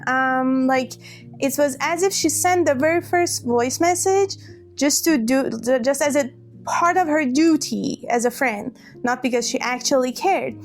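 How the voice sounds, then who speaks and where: A woman's voice: high voice, measured pace, faint music behind. A woman telling a personal story to camera, hurt and hesitant, often restarting her words. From Iran. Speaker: vlogger.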